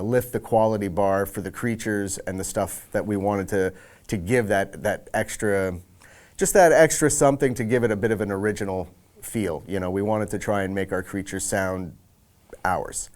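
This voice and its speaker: Low, smooth-voiced man reflecting. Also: a little mellow. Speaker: senior audio director at a game studio. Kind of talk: reflective making-of interview.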